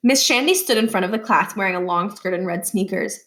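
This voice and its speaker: A woman narrating a children's book aloud, expressively, with deliberate phrasing in short, emphatic groups. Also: medium pitch, fairly bright tone. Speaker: read-aloud reader.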